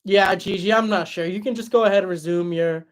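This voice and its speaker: A young man hosting a livestream and answering chat in a relaxed, chatty way, his voice tired after hours of talking. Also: high-pitched, fairly quick. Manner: relaxed, chatty, many fillers and restarts. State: voice tired after a long stream. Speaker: livestream host reading chat.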